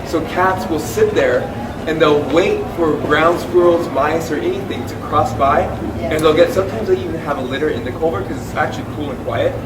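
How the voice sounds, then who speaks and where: Chatty man, slightly high voice, far from the mic, roomy hall, noisy. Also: fairly quick. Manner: chatty, lots of "like" and self-restarts. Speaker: wildlife tracking panelist. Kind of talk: animated storytelling answer. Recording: echoey room, distant mic, noisy.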